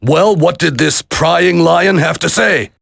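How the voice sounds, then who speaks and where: An actor playing a gruff, weary older government secretary in a video game, with a husky, gravelly, authoritative voice. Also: male voice, a little high, takes it slowly, a bit bright, no echo, clean studio sound, full character voice. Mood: grave, troubled.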